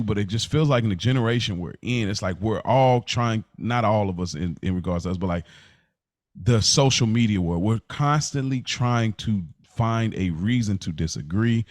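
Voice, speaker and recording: An American man with a fairly low voice talks casually as a podcast co-host. He works through his thoughts haltingly, doubling back to reword them. The sound is very dry, with no room echo. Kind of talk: casual discussion.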